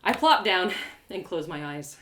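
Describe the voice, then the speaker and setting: A woman reading a first-person story aloud with expressive, playful, dramatic delivery. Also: on the low side, unhurried, a little hiss or hum. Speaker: author reading a story live.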